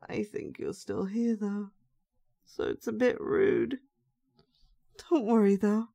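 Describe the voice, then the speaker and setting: A woman's voice: medium pitch, steady tone, slow pace, gravelly, mellow. A woman playing an unhinged, sweetly sinister young dollmaker in a horror audio roleplay, with childlike, sing-song menace. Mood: calmly menacing.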